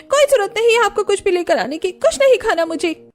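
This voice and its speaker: A woman's voice: extremely high pitch, lively intonation, fairly quick, pitched above the performer's own voice. A woman voicing a frustrated young wife in a family cartoon, whiny, indignant and emphatic, over music. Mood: angry, hurt. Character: frustrated, complaining young wife and daughter-in-law. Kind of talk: Hindi family cartoon voice acting.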